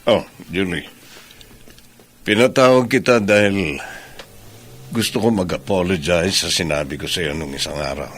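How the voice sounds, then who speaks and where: An actor with a Filipino accent playing a caring, gently insistent company boss in a radio drama, speaking emphatically. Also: male voice, sits low. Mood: apologetic, firm. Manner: emphatic, pleading, deliberate phrasing.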